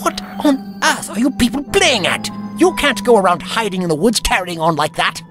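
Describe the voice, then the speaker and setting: An actor playing a fussy, indignant elderly time traveller with a prim, pompous manner in an audio drama. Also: male voice, high voice, expressive, normal speed. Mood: haughty. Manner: pompous, prim, old-fashioned wording. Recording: music under the voice.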